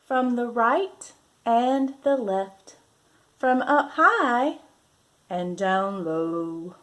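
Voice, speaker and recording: A woman with a slightly high voice reads to young children at a very unhurried pace. She speaks as a mindfulness teacher would, deliberate and gently instructive, guiding small listeners through the story. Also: expressive. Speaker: children's yoga and mindfulness teacher reading a picture book. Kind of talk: children's read-aloud with guided breathing. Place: at home.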